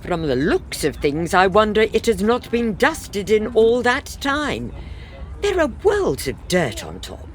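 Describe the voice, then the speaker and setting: Sensible period wife played by a woman, unhurried, lively. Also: medium pitch. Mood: dryly practical. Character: sensible, sturdy middle-aged wife, dryly exasperated. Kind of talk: comic period farce radio acting.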